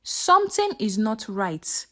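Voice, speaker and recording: Woman acting an suspicious elderly village woman, animated. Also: mid-range voice, takes it slowly, exaggerated voice.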